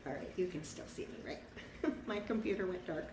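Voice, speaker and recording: A woman reading a picture book aloud to young children, pausing to explain things simply and ask them questions. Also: on the low side, expressive. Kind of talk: storybook read-aloud with asides to young children. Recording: echoey room, distant mic, faint noise.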